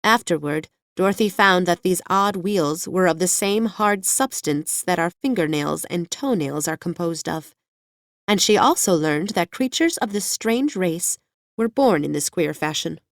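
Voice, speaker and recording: Lowish-voiced woman narrating a storybook. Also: expressive, unhurried, dry recording, clean studio sound. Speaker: audiobook narrator. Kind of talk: scripted children's fiction narration.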